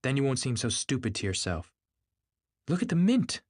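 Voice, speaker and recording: A man's voice: medium pitch, big pitch swings, moderate pace, slightly rough, pitched above the performer's own voice. A man voicing a fretful, wounded wealthy young wife in an audiobook, pleading and scornful. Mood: defiant.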